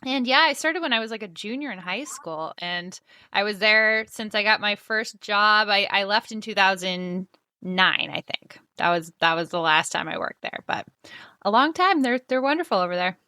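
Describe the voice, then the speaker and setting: A woman in her thirties co-hosting a podcast, chatting casually and gushing warmly with lots of "like". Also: mid-range voice, lively intonation, moderate pace, clear, resonant tone, no room sound, studio quality. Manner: gushing, filler-heavy with frequent "like". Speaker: podcast co-host.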